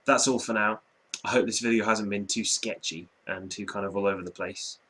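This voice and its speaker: Young english guy, twenties, mild general English accent, slightly low voice, rambling casual vlog chat. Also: fairly even intonation, brisk, bright, forceful tone. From Norwich, England. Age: 20s. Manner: chatty, rambling, hedging with "quite" and "um". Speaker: vlogger. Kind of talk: casual vlog answering viewer questions.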